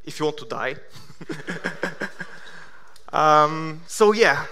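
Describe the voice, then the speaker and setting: A man in his thirties from Bulgaria with a Bulgarian accent, giving a conference keynote with self-deprecating deadpan humor. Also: a little high, drawn-out words. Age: 30s. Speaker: conference speaker. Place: large conference hall.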